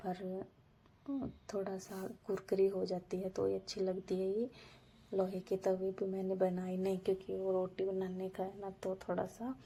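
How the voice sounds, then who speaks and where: A woman with a sore throat narrates her home routine in a husky, breathy half-whisper. Her voice has a gravelly edge and a mellow, dark tone. She barely moves her pitch and keeps it calm and everyday. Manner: flat, unhurried, homely narration. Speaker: vlogger. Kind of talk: daily routine voice-over.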